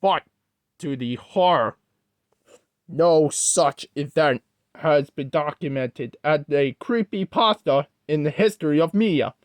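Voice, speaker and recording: Storyteller for creepy internet tale: mid pitch, unhurried, halting, self-correcting delivery, dry sound. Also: no room sound. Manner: halting, corrects own wording mid-sentence. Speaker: host, storyteller.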